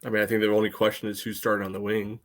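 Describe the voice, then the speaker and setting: A man's voice: on the low side, monotone, racing. A man giving casual, informal sports analysis on a podcast panel, often padding his sentences with filler phrases. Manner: informal, peppers talk with "you know".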